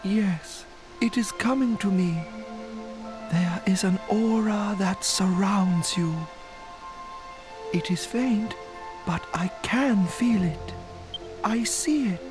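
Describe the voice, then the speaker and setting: A serene, ageless spirit in a game. The delivery is very unhurried and ceremonious, full of mystery, with prominent music playing behind it. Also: male voice, high-pitched. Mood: serene, solemn. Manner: solemn, ceremonious. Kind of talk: video game cutscene voice acting.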